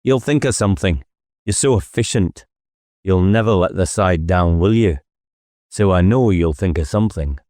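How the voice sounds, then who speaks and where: Man acting a weary, bitter, low-voiced policeman. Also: moderate pace, mellow, no echo, clean studio sound, natural voice. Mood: sardonic. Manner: sardonic, self-pitying. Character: weary, bitter policeman, despairing and self-loathing. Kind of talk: dramatised audiobook dialogue.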